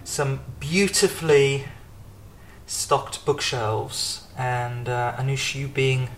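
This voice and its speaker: A man's voice: mid-range voice, fairly even intonation, slow pace, fairly bright tone, slight background noise. A man hosting a podcast, chatting casually with a guest in a home kitchen and talking with many fillers and restarts. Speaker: podcast host. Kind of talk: casual conversational interview.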